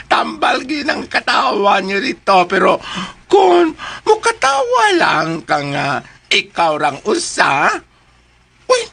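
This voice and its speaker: Shrill, creaky cackling Filipina busybody. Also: female voice, huge pitch swings, exaggerated voice. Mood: gleeful, mocking. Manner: cackling, shouting, drawn-out teasing. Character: cackling, taunting, nosy comic female busybody. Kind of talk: Filipino radio drama acting.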